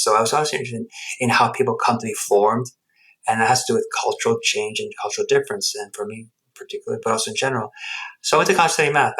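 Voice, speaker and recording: A man with a lowish voice, raised speaking both Spanish and English, tells his life story as a podcast guest. He talks quickly, circling back and restarting his sentences as he thinks aloud. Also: fairly bright tone, poor audio. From United States. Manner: rambling, reflective, many restarts. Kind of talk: reflective storytelling interview. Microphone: headset earphones.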